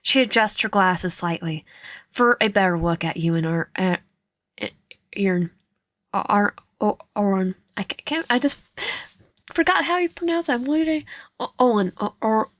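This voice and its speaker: A woman's voice: mid-range voice, expressive, a little slow. A woman gaming host reading a visual novel aloud with commentary, often stumbling over words. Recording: echoey room, faint music behind. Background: faint music.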